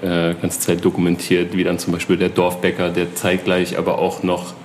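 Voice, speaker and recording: A man's voice: sits low, monotone, normal speed, slight background noise. A man hosting a casual interview, chatty and easygoing. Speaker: interviewer, host.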